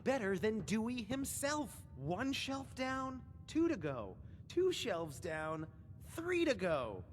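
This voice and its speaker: A woman's voice: fairly high pitch, sing-song, takes it slowly, big-room sound, a little hiss or hum. A woman playing an eccentric, scatterbrained, book-obsessed librarian in a video game, rambling in sing-song rhymes and wordplay. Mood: pleased, counting. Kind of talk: theatrical video game character acting.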